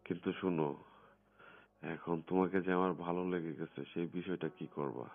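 A man's voice: low-pitched, fairly even intonation, a little fast. A man speaking in a private phone conversation in a coaxing, insistent manner. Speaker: phone caller in a recorded conversation. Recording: muffled lo-fi phone recording with music.

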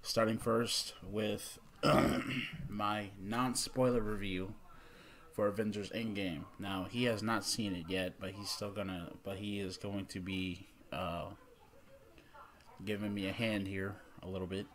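A man in his thirties giving a casual, unscripted movie review as a vlog host, rambling and halting, with some mild swearing. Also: lowish voice, fairly even intonation, fairly bright tone. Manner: rambling, halting, mildly profane. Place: small room indoors at home.

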